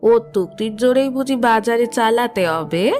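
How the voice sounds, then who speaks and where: An actress playing a shrewd, bantering auntly guardian in an audio drama, questioning in a wry, measured way. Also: female voice, a little high, lively intonation, a little mellow, faint music behind, close to an everyday voice. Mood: wry, amused. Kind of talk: Bengali audio drama acting.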